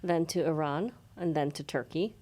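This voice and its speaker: A woman's voice: low-pitched, moderate pace, dark tone. A woman producer, a guest in a studio interview, listing facts briefly and matter-of-factly.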